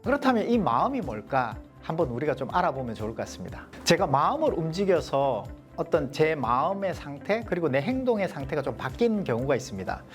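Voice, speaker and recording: A man with a softened Gyeongsang accent, giving a leadership coaching lecture to a small group and posing rhetorical questions. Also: a little high, sing-song, a little fast, hardly any pauses, faint music behind. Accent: Gyeongsang Korean, mostly softened. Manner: poses rhetorical questions, explanatory.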